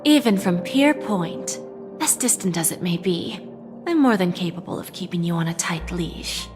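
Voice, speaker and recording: An actress playing a confident, shrewd female corporate negotiator in a video game, articulate, measured and pointed, with a coolly threatening edge. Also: lowish voice, animated, over music, close to an everyday voice. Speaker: game voice actor. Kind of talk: dramatic video game dialogue.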